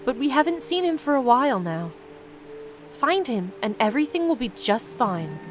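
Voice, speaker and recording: A woman's voice: high voice, very animated, normal speed, faint music behind. Woman playing a patient, courteous fantasy king.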